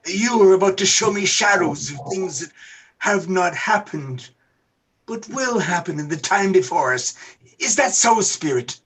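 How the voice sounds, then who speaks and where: Man acting Scrooge, a slow, husky, humbled old miser. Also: high-pitched, animated, a bit bright, exaggerated voice, low-quality recording. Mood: fearful, earnest. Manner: halting, earnest, pleading. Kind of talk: theatrical radio play acting.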